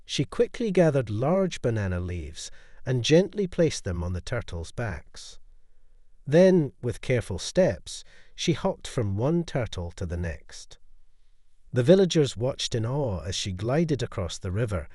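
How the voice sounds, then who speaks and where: A man narrating a scripted bedtime folktale in a dramatic, expressive storytelling manner. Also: fairly low pitch, dry recording, studio quality.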